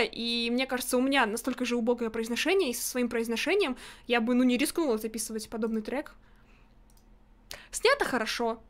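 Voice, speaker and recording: Young female streamer, high voice, rapid-fire blunt opinions, close studio mic at home. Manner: blunt, opinionated, quick to nitpick. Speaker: streamer reacting to a music video. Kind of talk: casual critical commentary. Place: home streaming room, indoors. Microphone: large studio mic in front, headset on.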